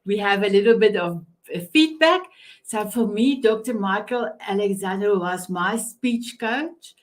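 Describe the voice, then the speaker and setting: Woman, South African accent, Afrikaans native, talk show host, effusive, medium pitch. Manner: effusive, complimentary. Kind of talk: hosting a panel conversation.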